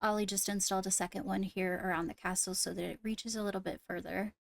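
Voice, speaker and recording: Woman acting as game roleplay queen, even intonation, creaky edge, formal, measured, hesitant between phrases. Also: mid-range voice, close to an everyday voice. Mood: composed, businesslike. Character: poised female royal ruler addressing her court. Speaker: leader of the meeting. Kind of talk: improvised Minecraft roleplay.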